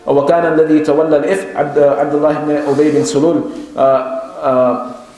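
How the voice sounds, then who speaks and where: Male Islamic teacher, slightly high voice, very rapid delivery, halting explanations, storytelling lecture, heavily echoing room. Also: flat delivery, dark tone. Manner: hesitant, halting English explanation alternating with rapid Arabic recitation. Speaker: Islamic teacher giving a lesson. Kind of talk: religious storytelling lecture, translating Arabic text aloud line by line. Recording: very echoey, reverberant room.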